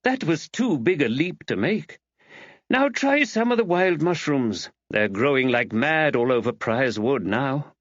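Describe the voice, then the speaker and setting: Man as a knowing village woman, raised pitch. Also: lively intonation, measured pace, no room sound, dull audio. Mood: brisk. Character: knowing, gossipy village woman, brisk and practical. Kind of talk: audiobook character dialogue.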